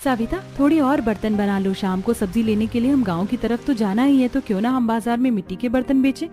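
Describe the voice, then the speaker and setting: Woman playing an earnest, high-pitched village housewife. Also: brisk, warm tone, soft background music, natural voice. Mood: encouraging. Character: village women, mother-in-law and daughters-in-law, earnest and practical. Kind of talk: scripted cartoon story dialogue.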